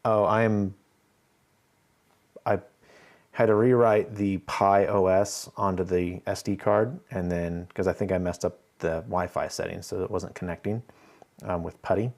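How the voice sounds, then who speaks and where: A man's voice: low-pitched, fairly even intonation, a little slow, darkish tone. A man hosting a casual live stream, thinking aloud as he works and chatting easily with viewers. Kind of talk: casual live stream chat while working.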